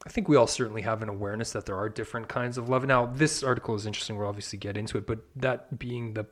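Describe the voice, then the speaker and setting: Male podcast co-host, low voice, reflective and halting delivery. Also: fairly even intonation. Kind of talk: casual chat.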